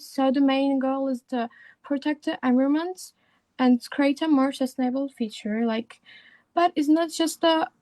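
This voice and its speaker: A woman's voice: sits high, a little mellow, no echo, low-quality recording. A sixteen-year-old girl from Turkey, a non-native English speaker, presenting a school talk over an online call with many hesitations and fillers. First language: Turkish. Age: teens. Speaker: student speaker. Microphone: remote call microphone.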